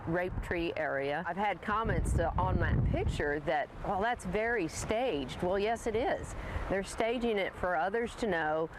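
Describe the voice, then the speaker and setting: A woman's voice: fairly low pitch, measured pace. A woman giving an unscripted interview account as a rancher. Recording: very noisy outdoor field recording.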